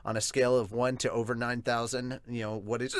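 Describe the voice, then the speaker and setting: An excitable, giggly, sweary man with hiccups, hosting a casual manga review and reading out viewer comments. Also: medium pitch, a little fast, no echo. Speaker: host of a manga review show.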